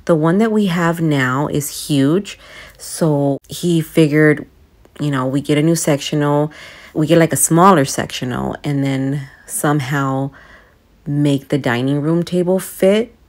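A woman's voice: deep voice, unhurried, a little mellow. A young woman narrating a home vlog in a casual, chatty, confiding way, as if talking to friends. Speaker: home and cleaning vlogger.

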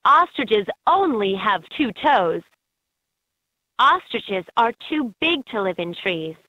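A woman's voice: on the high side, sing-song, takes it slowly, pushed and bright, dry recording, heavily acted. A woman voicing an upbeat guide in a children's educational animal game, in scripted narration with slightly dull game audio.

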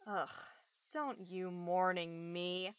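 A performer voicing a young woman's-pitched, cautious companion in an audio drama, worried and exasperated. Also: steady tone, takes it slowly, clear, resonant tone. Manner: protesting, exasperated.

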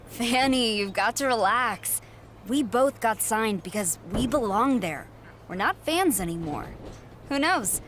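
A woman's voice: fairly high pitch, expressive, unhurried, a bit bright, slight background noise. A young woman voicing a confident, cocky wrestler in a video game cutscene. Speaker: player character wrestler.

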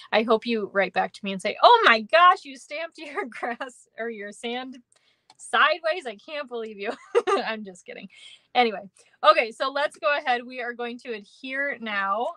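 A woman's voice: fairly high pitch, sharp tone, no room sound. A young woman with a Minnesotan accent teaching a card-making class on a live stream, chatting with viewers in a giggly, rambling way. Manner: chatty, giggly, lots of ums and kindas. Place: indoor craft room.

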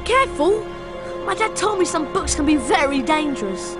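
A woman voicing an excitable but cautious young schoolboy sidekick in a video game. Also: high-pitched, normal speed, loud music behind the voice. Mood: wary. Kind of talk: video game cutscene acting.

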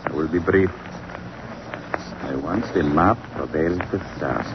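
An actor playing a coolly courteous, menacing villain in 1940s radio drama, speaking formally and deliberately. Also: male voice, rumbling low, full character voice. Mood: cold menace. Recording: muffled, noisy old radio recording.

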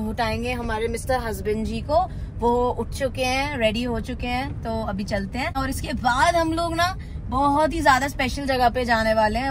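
A woman's voice: a little high, noisy background. A woman talking casually to camera as a travel vlogger, chatting away and switching between Hindi and English. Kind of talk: casual vlog talk to camera. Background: background music.

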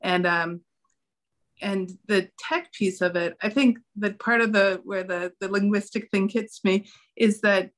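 Middle-aged woman, medium pitch, thoughtful explanatory delivery, panel discussion, video call. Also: fairly even intonation, a little slow.

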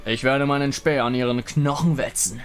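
A man's voice: mid-range voice, fairly even intonation, moderate pace, natural voice. A man voicing a stern, warlike god with a grave, menacing manner.

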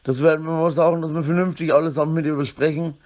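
A man's voice: on the high side, little pitch movement, quick pace, darkish tone, highs cut off. A man delivering a rambling, repetitive complaint monologue with frequent little tag words.

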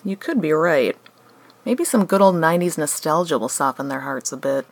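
A woman's voice: deep voice, animated, moderate pace, vocal fry, no echo, exaggerated voice. A woman playing a doubtful, deferential assistant to a god, hesitant and resigned, in a comic radio play.

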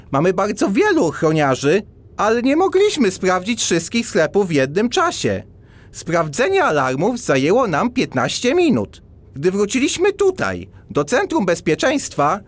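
A woman playing an excitable, agitated male ostrich who runs security in a children's audio drama. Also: medium pitch.

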